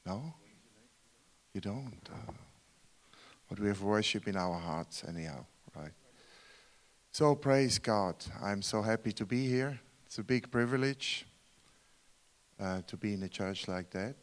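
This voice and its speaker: A man's voice: lowish voice, measured pace, long pauses. Swiss German-accented male preacher, earnest, rhetorical, asks the room questions. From Switzerland. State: tired from travel. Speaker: guest preacher. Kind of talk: sermon.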